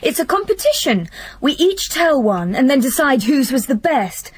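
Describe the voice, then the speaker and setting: An eager young stepdaughter. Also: female voice, high-pitched, very animated, moderate pace. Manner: eager, coaxing, well-spoken. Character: lively young stepdaughter, playful and coaxing. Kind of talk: radio drama acting.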